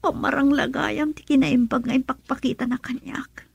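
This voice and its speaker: A woman acting a wronged, regretful young woman in a radio drama, breathy and pleading, with an Ilocano Filipino accent. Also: high-pitched, steady tone, normal speed, dry recording. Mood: tearful regret.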